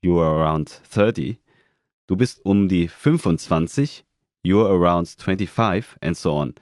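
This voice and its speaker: A man reading words for a language lesson, saying each one twice, slowly and deliberately. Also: low voice, a little mellow, dry recording, clean studio sound. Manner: says each word twice, slow and deliberate. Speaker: German pronunciation speaker. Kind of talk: slow pronunciation drills.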